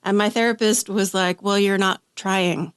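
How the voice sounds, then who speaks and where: A woman guest on a podcast, telling personal stories in a chatty way with quick asides, her throat a little rough after an illness. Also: medium pitch, fairly even intonation, no echo, clean studio sound. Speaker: guest, author. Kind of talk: casual podcast conversation.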